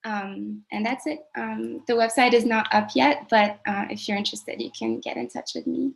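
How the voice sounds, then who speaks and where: Woman from Lebanon, native Arabic, earnest halting pitch, flat gravelly delivery. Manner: earnest, hesitant with many fillers. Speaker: presenter. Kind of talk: project pitch.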